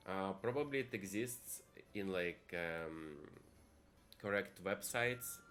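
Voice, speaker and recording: A man's voice: low-pitched, takes it slowly, fairly bright tone. A man from Italy chatting casually and thinking aloud while streaming a life-sim game to viewers. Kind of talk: casual live-stream gameplay commentary.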